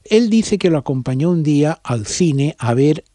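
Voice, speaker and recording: A man's voice: a little high, expressive, normal speed, dark tone, no room sound. Dry-sounding man giving interview commentary. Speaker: film director being interviewed.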